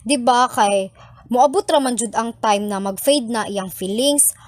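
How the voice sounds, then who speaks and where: A woman's voice: on the high side, unhurried, dry recording, close to an everyday voice. A woman playing a giggly, earnest student calling a radio show, cheeky and a little hesitant, with a Filipino accent. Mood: earnest, thoughtful. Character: young female student caller, giggly, earnest, opinionated. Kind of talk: acted call-in conversation.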